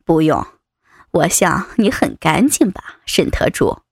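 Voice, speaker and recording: A woman voicing a domineering, taunting male vice president in an adult audiobook, with a husky, creaky voice and a mocking, commanding manner. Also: sits low, very animated, slow, no echo, clean studio sound, voice pushed down, exaggerated voice. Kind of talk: dramatized adult audiobook dialogue.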